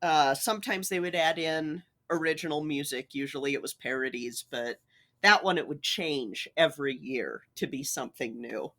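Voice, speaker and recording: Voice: mid-range voice, moderate pace, bright, forceful tone. A podcast co-host in their thirties, chatting casually and agreeing with emphasis. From Utah, United States. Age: 30s.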